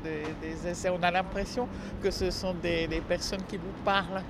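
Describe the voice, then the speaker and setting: A woman's voice: lowish voice, steady tone, normal speed, noisy background. A woman visitor talking in a vox pop interview, stumbling and repeating words. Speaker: exhibition visitor.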